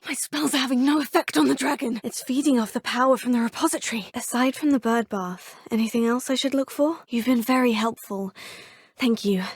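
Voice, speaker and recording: A woman's voice: on the high side, darkish tone, slightly rough, dry recording. An actress voicing a polite, determined young female student of magic in a video game, speaking in a courteous, composed, formal manner. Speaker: player character voice. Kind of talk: video game dialogue lines. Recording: dry studio booth.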